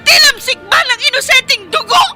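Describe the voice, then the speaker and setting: An actress playing a young woman shouting in tearful indignation as she defends her faith. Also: extremely high pitch, brisk, music in the background. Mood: indignant, tearful. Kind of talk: radio drama acting.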